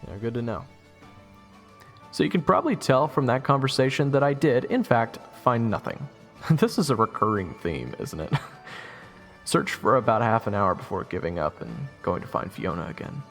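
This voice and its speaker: A man's voice: medium pitch, very animated, moderate pace, fairly bright tone, soft background music. A man playing a flippant, evasive young adventurer in an audio roleplay, wry and casual with awkward hesitations. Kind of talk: audio roleplay acting with first-person narration.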